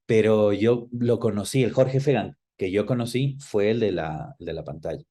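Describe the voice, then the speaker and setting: A middle-aged man with an Ecuadorian accent, reminiscing conversationally as an interview guest and pausing to search for words. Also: lowish voice, dark tone. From Quito, Ecuador.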